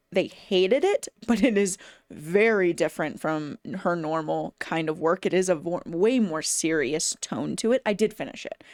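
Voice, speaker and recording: A woman's voice: fairly low pitch, no echo, clean studio sound. A woman giving a casual, enthusiastic book review to camera, speaking conversationally as a bookseller would. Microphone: phone camera.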